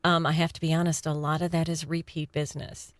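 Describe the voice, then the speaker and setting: A middle-aged woman from Wisconsin with a Wisconsin accent, a voice actor talking candidly and self-deprecatingly, laughing and clearing her throat often. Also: deep voice, level tone, fast, no echo, clean studio sound. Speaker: voice actor guest. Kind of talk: casual chat.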